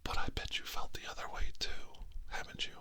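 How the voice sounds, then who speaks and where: A man giving a guided ASMR healing session in a breathy, mostly unvoiced whisper, soothing and hushed, in short phrases with long pauses. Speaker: ASMR reiki healer.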